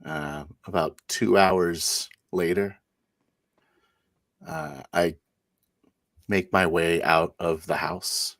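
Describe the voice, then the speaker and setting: Very deep, slow-paced man narrating. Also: fairly even intonation, a bit bright, no room sound, clean studio sound. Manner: halting, deliberate. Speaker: tabletop roleplaying player. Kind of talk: improvised first-person game narration.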